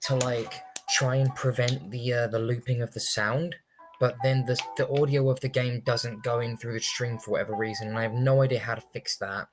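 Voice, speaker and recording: A man's voice: medium pitch, fairly even intonation, brisk. A young man giving casual, rambling, hesitant commentary on a game livestream. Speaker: game streamer. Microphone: streaming microphone, also picking up game audio. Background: faint game music.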